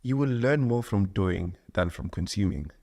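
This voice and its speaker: Deep-voiced relaxed musician guest. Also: male voice, moderate pace. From South Africa. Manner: relaxed, informal, uses slang. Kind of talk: casual interview chat.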